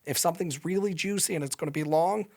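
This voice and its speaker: A man's voice: on the high side, fast. A man with a nasal voice, a podcast guest talking loosely with lots of fillers. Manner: loose, rambling with many fillers. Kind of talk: casual interview chat.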